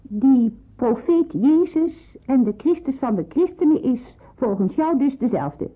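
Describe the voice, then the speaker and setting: Afkeurende jonge onderduikster, omfloerste stem. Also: female voice, high-pitched, big pitch swings, measured pace, exaggerated voice. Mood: disbelieving. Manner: disapproving, dismissive, firm. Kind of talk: Dutch radio play acting. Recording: muffled narrowband old radio play.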